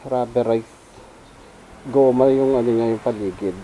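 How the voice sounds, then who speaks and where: Filipino guy doing a laid-back gear review, slow talker, really mellow dark voice, mixes in english. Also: mid-range voice, fairly even intonation, a little hiss or hum, rough recording. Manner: informal, switches between Tagalog and English. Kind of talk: casual unscripted product review.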